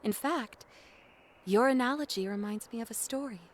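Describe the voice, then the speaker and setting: Woman playing sweet, gentle dream girl. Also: on the high side, moderate pace, natural voice. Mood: sincere. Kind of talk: indie audio drama acting. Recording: music under the voice. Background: music.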